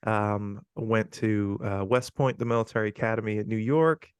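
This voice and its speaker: A man in his forties, from Guyana, talks through an interview in an easygoing, chatty way, pausing on plenty of ums. His voice is smooth and resonant. It sits close and dry, with no room sound around it. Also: lowish voice, no room sound. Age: 40s. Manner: relaxed, chatty, frequent ums. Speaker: podcast guest. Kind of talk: casual interview talk.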